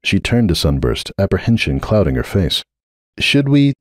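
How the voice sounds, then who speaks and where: A man's voice: low voice, lively intonation, normal speed, no echo, clean studio sound. A man reading scripted dramatic story narration.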